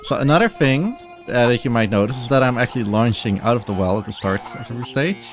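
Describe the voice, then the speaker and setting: A man's voice: medium pitch, fast, faint music behind. A man giving casual live speedrun commentary, explaining things in a hesitant, dryly humorous way.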